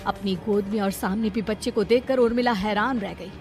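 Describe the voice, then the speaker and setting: A woman's voice: mid-range voice, steady tone, fairly quick, over music. A woman narrator reading a scripted horror story.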